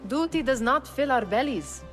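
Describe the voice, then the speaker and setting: A woman's voice: high-pitched, lively intonation, moderate pace, soft background music. An actress playing a sardonic young female thief in a video game, blunt and teasing but loyal. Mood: exasperated. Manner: dry, teasing. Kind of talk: cinematic video game acting.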